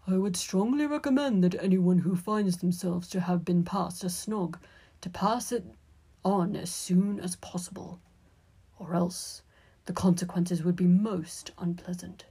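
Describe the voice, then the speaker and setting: A woman playing a wizened old headmaster, making solemn, formal announcements with quiet amusement in a story. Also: lowish voice, measured pace, slightly rough. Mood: dryly solemn.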